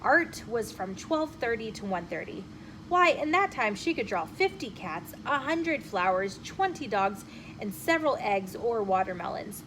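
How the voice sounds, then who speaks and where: A woman storyteller reading a children's chapter book aloud in an expressive, emphatic way. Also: medium pitch, measured pace. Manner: animated, emphatic phrasing with acted dialogue. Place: inside a bookshop. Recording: noticeable room noise behind the voice.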